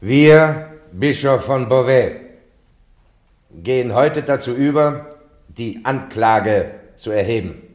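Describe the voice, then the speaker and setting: Solemn, admonishing bishop. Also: male voice, medium pitch, expressive. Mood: solemn, formal. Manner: formal, measured, admonishing. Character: solemn, authoritative male bishop presiding over heresy trial. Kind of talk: German radio play acting.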